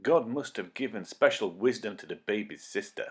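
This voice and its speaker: A man narrating a scripted children's Bible story with dramatic, expressive delivery. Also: fairly low pitch, normal speed, husky.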